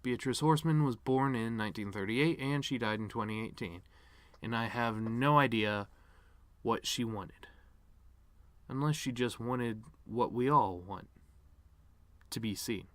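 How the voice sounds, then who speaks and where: A man's voice: medium pitch, measured pace, pauses often, no echo, close to an everyday voice. A man performing a dramatic monologue as a bitter, grieving middle-aged cartoon horse-man, sardonic, rambling and wounded. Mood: weary, subdued. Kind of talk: dramatic reading of a eulogy monologue.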